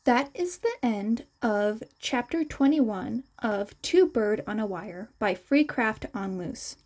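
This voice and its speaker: A woman reads a story as its narrator. Her voice is fairly high and very animated in pitch, and she takes the text slowly. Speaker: podfic narrator.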